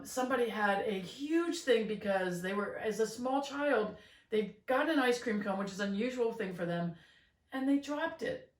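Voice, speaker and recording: A middle-aged woman co-hosting a podcast, talking in an earnest, gentle way with many fillers and restarts. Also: mid-range voice. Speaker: podcast co-host. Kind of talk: reflective casual chat. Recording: roomy, slightly distant mic.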